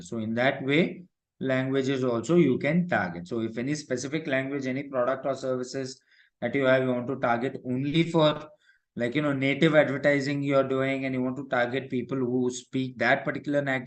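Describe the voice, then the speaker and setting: Indian guy teaching an online class, normal mid pitch, explains step by step, keeps tacking on little check-in words. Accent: Indian English. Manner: repetitive check-in tags like "right" and "okay". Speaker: digital marketing instructor. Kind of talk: live online software lesson, explanatory.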